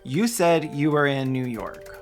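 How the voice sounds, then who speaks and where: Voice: medium pitch, expressive, a little slow, faint music behind. A sarcastic, indignant video essayist who swears while delivering scripted investigative narration.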